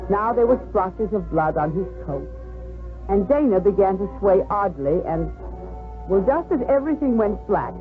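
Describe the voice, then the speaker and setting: An American woman giving scripted narration for a 1950s radio drama. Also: mid-range voice. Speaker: story narrator. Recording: old narrow-band radio broadcast.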